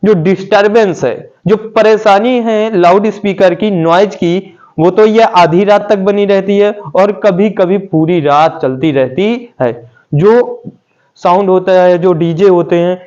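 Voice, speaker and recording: A man's voice: sits high, takes it slowly, a little mellow. A man with a North Indian accent giving an explanatory lesson as a teacher, repeating points and asking questions that he answers himself. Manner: repetitive, asks and answers own rhetorical questions. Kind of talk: explanatory lesson, English phrases glossed in Hindi. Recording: dull lo-fi audio.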